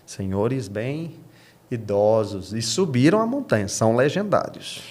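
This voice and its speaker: A man's voice: mid-range voice, animated, a little mellow. Brazilian man in conversational interview. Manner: halting, often seeking agreement with tags.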